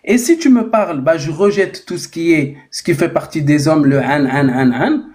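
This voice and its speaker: A man pushes hard in a live online debate and repeats his challenge over and over, demanding an answer. His voice sits a little higher than most men's. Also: moderate pace, a little mellow. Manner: challenging, insistent questioning. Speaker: debate participant.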